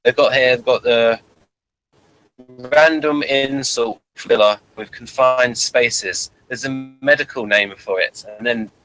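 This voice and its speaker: A man with a mid-pitched voice talks through a show in a loose, conversational review. He rambles and halts, often restarting with the same phrase as he guides the discussion. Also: steady tone, a bit bright, faint music behind, poor audio. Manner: halting, rambling, repeats phrases. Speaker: host of a TV episode review.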